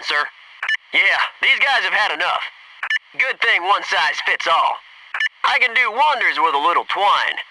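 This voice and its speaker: A man's voice: sits high, recorded from a distance, background noise. An American man playing a wisecracking, gung-ho Navy SEAL in a video game, with cocky, clipped military delivery. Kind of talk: video game combat barks.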